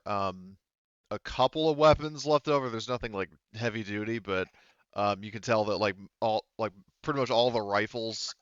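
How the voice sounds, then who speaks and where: A man with a clear, fairly low voice narrates a tabletop roleplaying game as its game master. He speaks casually and haltingly, in a dry, close and slightly muffled recording. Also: a bit bright, no echo.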